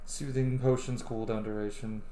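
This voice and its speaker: A man's voice: mid-range voice, flat delivery, moderate pace, warm tone, echoey room, slight background noise, low-quality recording. A man giving casual, sweary, effusive let's play commentary and reading game text aloud. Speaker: gamer, roleplay streamer.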